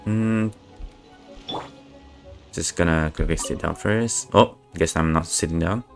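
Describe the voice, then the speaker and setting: A man streaming a game, laid-back and reading the text aloud haltingly. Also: deep voice, creaky. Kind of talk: casual gameplay commentary. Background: game music.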